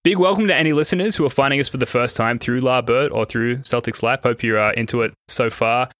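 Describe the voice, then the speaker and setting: A man's voice: mid-range voice, fast, fairly bright tone, no echo, clean studio sound. An Australian man with a slight American tinge, hosting a casual podcast chat in a chatty, self-deprecating way. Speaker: podcast host.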